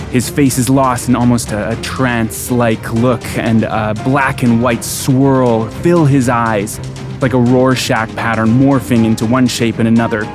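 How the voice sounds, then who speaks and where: A man giving scripted, descriptive narration for a fantasy audio drama. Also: mid-range voice. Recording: prominent music bed behind narration. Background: music.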